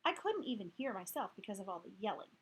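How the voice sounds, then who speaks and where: A woman's voice: medium pitch, very animated, quick pace, breathy edge, pitched below the performer's own voice. A woman voicing a small, curious male hamster who tells the story in an earnest way. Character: Humphrey, a small chatty male hamster narrator.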